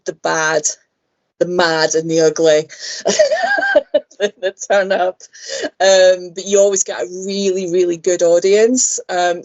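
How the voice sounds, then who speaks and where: A woman's voice: on the low side, darkish tone, highs cut off. A Scottish woman with a Glaswegian accent, chatting as a musician guest on an interview podcast, filling gaps with frequent "um"s. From Scotland. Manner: chatty, frequent "um"s, laughs easily. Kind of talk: casual interview chat.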